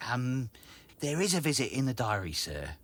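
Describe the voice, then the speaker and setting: Male, servile, venal lackey for audio drama; bright husky voice, lively hesitant delivery. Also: medium pitch, normal speed. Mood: protesting, deferential. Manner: hesitant, deferential, colloquial. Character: dim, servile, venal jail underling.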